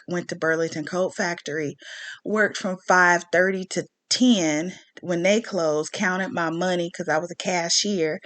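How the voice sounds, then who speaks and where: A woman's voice: on the low side, dry recording. A woman with a Southern American accent in a casual personal rant, speaking emphatically and repeating phrases for stress. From southern United States. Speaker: online commentary host.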